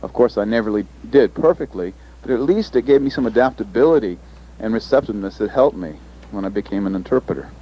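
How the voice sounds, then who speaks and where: Low-voiced man in a dull lo-fi recording. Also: animated, slightly rough. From United States. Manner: thoughtful, pausing with fillers. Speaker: interpreter presenter. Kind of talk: recorded panel presentation. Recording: dull lo-fi recording, faint ambience.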